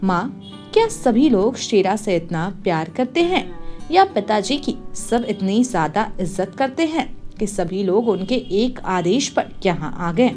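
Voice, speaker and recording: A woman's voice: medium pitch, lively intonation, measured pace, darkish tone, soft background music, close to an everyday voice. A woman voicing a curious young prince in a moral story, asking his mother questions. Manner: inquiring.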